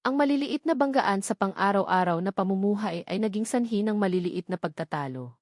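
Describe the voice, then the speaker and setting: A woman's voice: mid-range voice, animated, a little fast, dry recording, studio quality. A woman reading scripted, dramatic love-story narration as a storyteller.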